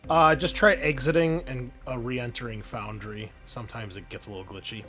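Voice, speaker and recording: A man narrating a tabletop roleplaying game as game master in a casual, conversational, halting way. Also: medium pitch, steady tone, music in the background.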